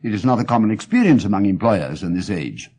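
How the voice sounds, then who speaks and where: An actor reading as a cool, amused gentleman detective with an RP English accent and a dry, clipped manner. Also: lowish voice, animated, fast talker, husky, pitched below the performer's own voice. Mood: urbane, inquisitive. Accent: English, Received Pronunciation.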